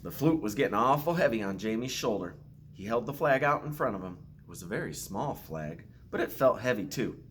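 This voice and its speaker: A man who is a school principal reads a picture book aloud to children with expressive, simple storytelling phrasing. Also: fairly low pitch, brisk, slight background noise. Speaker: school principal reading to pupils.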